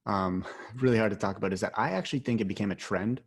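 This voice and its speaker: A man in his mid-twenties hosts a casual podcast conversation, his speech loose and full of "like". His voice sits on the low side. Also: fast, slightly rough. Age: 20s. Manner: casual, says "like" often. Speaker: podcast host.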